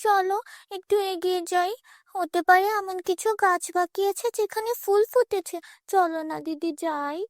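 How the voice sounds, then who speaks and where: A woman's voice: extremely high pitch, fairly even intonation, moderate pace, no echo, studio quality, pitched above the performer's own voice. A woman voicing an eager, pleading little orphan girl, the younger sister in a children's fairy-tale cartoon. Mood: worried, urging.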